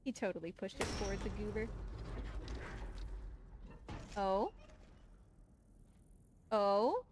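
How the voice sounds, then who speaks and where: A woman's voice: mid-range voice, animated, a little slow. A woman doing casual live gameplay commentary as a speedrunner, with a playful, exasperated, scolding manner. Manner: talks to the game creature in playful, exasperated scolding. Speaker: speedrunner streaming live for a charity marathon. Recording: clear background game noise and ambience.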